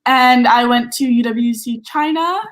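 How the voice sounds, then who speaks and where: A woman's voice: fairly high pitch, unhurried. A young woman who grew up in Indonesia, introducing herself on a video-call panel in a friendly, casual way. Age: young adult. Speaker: panelist, recent graduate.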